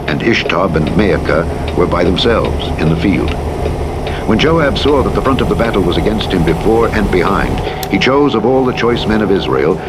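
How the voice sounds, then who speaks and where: A man with a deep voice narrates scripture in a solemn, formal way. His delivery is measured throughout. Vehicle noise from a driving game runs clearly behind him. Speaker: Bible audiobook narrator. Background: truck simulator game driving sounds.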